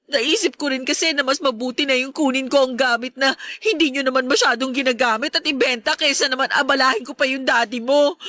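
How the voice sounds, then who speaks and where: A woman's voice: high-pitched, a bit bright, no echo, studio quality. A woman playing a remorseful, pleading stepmother in an emotive Filipino radio drama, with a Filipino accent. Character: remorseful, pleading stepmother, adult woman under family strain.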